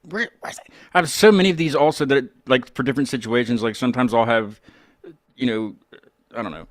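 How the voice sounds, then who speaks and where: A man's voice: mid-range voice, brisk, no echo, clean studio sound. A man hosting a casual chat, joking and rambling as he goes. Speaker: podcast host interviewing a band.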